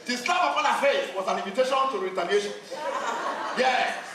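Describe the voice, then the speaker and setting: A man's voice: extremely high pitch, lively intonation, a little fast, pushed and bright, exaggerated voice. A man with a Nigerian accent playing a stern, mocking military general in a comic stage play. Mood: mocking lecture. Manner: blustering. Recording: echoey stage, far mic, noisy audience.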